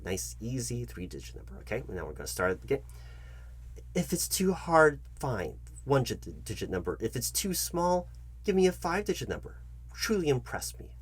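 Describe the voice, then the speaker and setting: A man with a fairly low, husky voice plays a job interviewer in a comedic roleplay. His pitch moves around in a very animated way. He talks directly to the listener, fussy and halting, often repeating his instructions. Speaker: ASMR channel host acting as job interviewer.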